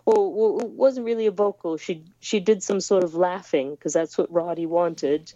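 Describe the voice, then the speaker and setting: A woman talks over a remote call in a low, mellow voice, pausing often and restarting her sentences. The audio is dry and dull, like a lo-fi call line. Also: dry recording. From United States. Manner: hesitant, many restarts and fillers. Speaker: musician guest. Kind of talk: casual interview chat over a remote call. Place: home in England.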